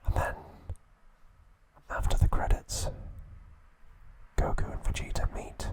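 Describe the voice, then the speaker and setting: A man hosting an ASMR channel, whispering trivia softly and gently. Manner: soft unvoiced whisper, slow and gentle.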